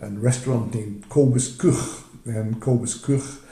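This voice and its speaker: An older man with a Dutch accent presents his travel photos on a video call, speaking haltingly with many fillers and restarts. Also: mid-range voice, steady tone, dark tone, roomy sound. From Netherlands. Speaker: retired emergency physician presenting travel photos.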